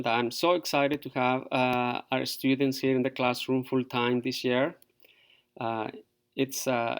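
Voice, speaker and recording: Middle-aged teacher, halting. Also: male voice, medium pitch. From Peru. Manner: halting, many fillers. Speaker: fourth grade teacher. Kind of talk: virtual open house presentation to parents.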